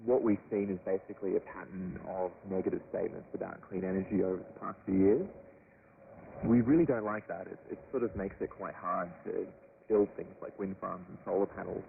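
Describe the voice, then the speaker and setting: A man explains in a conversational interview manner. His voice is deep with plenty of vocal fry. The signal is thin shortwave with faint static. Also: fairly even intonation, fairly quick. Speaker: energy company spokesman, interviewee. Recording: noisy narrowband shortwave radio.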